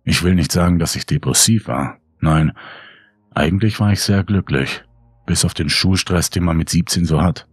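A man's voice: sits low, moderate pace, breathy edge, no echo. A man reading a scripted horror story as a dramatic narrator. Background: music under the voice.

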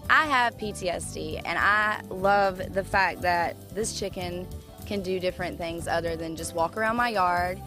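A woman's voice: lowish voice, moderate pace, over music. An American woman in her thirties, an informal, candid guest in a casual outdoor interview, picked up on a handheld microphone. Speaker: interview guest, pet accessory maker. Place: outdoors in a dry hillside yard. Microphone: reporter's handheld microphone held toward them.

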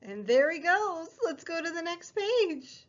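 High, animated woman reading to preschoolers. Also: muffled recording. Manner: sing-song, exaggerated child-directed delivery, drawn-out words. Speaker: preschool story time host and teacher. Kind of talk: picture book read-aloud for small children.

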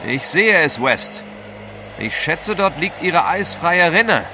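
An actor in a German radio drama playing a resolute, level-headed gentleman adventurer, articulate and commanding. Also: a little high, very animated, slow pace, background noise. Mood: relieved.

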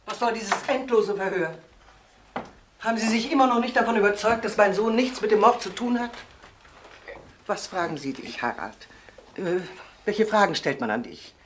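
A woman's voice: mid-range voice, lively intonation, normal speed, long pauses, slight background noise, lightly acted. An actress playing an older, protective mother in a crime radio drama, imperious, agitated and dramatic. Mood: indignant. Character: indignant, protective older mother of grown son.

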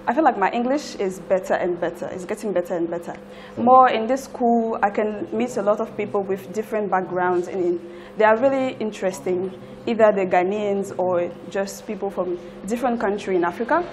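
A woman's voice: medium pitch, a little mellow, slight background noise. A woman in her twenties with an Ivorian accent, giving an interview testimonial as a language student. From Ivory Coast. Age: 20s. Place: indoors at a language school. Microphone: lapel.

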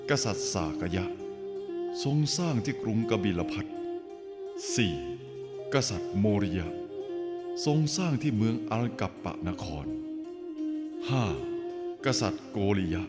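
A man giving scripted, formal narration for an animated film, telling the story in an expressive way. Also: lowish voice, a little slow, long pauses, in a large hall, over loud music.

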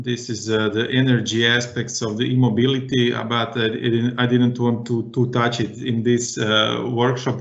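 Presenter in an echoey room. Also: male voice, mid-range voice, fairly bright tone. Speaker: workshop presenter. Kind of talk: workshop discussion. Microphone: headset. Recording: echoey room, dull call audio.

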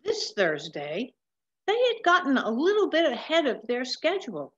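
An older woman reading a picture book aloud in a measured, explanatory manner, recorded on a webcam at home. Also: sits high, huge pitch swings. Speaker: storybook reader. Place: large wood-ceilinged room at home. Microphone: webcam or laptop mic at desk distance. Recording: slightly dull webcam sound.

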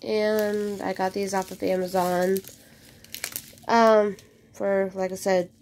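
A woman's voice: mid-range voice, takes it slowly, a little hiss or hum. A woman chatting casually as she unboxes craft kits at home, a little congested from a cold, rambling with plenty of ums. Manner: relaxed, rambling with many ums. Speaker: crafting vlogger. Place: indoor craft room at home.

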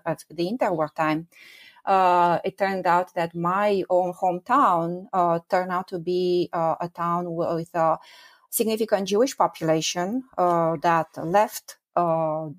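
A woman in her forties from Romania, a history professor answering interview questions thoughtfully, with many ums and uhs. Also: lowish voice. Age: 40s.

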